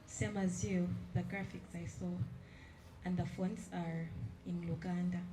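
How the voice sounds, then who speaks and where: A woman's voice: on the low side, fairly even intonation, unhurried, music in the background, rough recording. A woman in the audience asking an artist a polite, effusive question at an exhibition.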